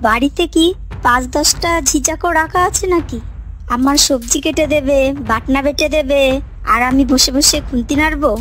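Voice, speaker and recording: Cartoon daughter-in-law, played by a woman: very high pitch, quarrelsome, snappy, scheming complainer. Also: normal speed, fairly bright tone, slight background noise. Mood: irritated complaining. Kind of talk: cartoon moral story voice acting.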